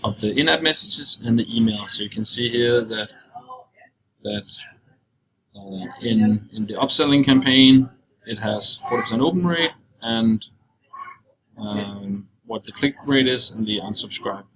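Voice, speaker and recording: A young man giving an informal screencast walkthrough, explaining in a halting, loose way as he goes. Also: on the low side, fairly bright tone. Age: young adult. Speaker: software walkthrough presenter. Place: indoors, at a computer. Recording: clearly noisy screencast recording.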